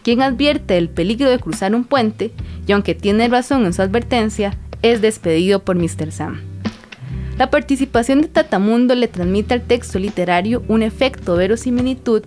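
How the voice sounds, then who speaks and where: A woman's voice: fairly high pitch, lively intonation, moderate pace, a little mellow. A woman giving prepared, formal literary commentary in the didactic manner of a professor. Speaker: literature professor, guest specialist. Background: music.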